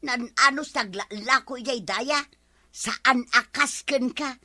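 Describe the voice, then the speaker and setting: A woman's voice: high voice, slow pace, sharp tone, dry recording, studio quality, full character voice. A woman playing a grumbling older village woman in an Ilocano comedy drama. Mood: indignant.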